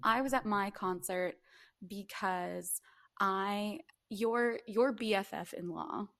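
Woman, podcast co-host, casual chat, playful, mock-dramatic delivery, dry recording, medium pitch. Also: slow pace, a bit bright, no room sound.

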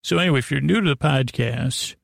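Gravelly, rambling male podcast host. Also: mid-range voice, a bit bright, no room sound, studio quality. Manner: meandering, halting, stammering, trails off and restarts; silly, absurd, mock-earnest. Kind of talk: rambling improvised monologue addressed to an imaginary guest.

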